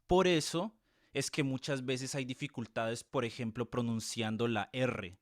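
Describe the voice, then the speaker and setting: Man, Colombian Spanish accent, tutor and music teacher, measured explanations, mid pitch, dry sound. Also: fairly even intonation, no room sound. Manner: measured, explains with examples and tag questions. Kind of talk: thoughtful explanatory interview answers.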